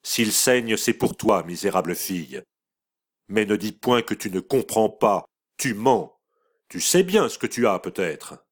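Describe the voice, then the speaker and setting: A harsh, domineering mother, voiced by a male audiobook reader, her commands cold and unrelenting. Her voice rises well above the reader's own low register. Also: animated, a little slow, breathy edge. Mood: accusing. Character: harsh, imperious mother turned accuser.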